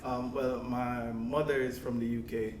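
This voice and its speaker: A man from Jamaica chatting casually as a panel guest, relaxed and quick to laugh. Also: medium pitch. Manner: relaxed, laughs often, easygoing storytelling. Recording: echoey room, distant mic, background noise.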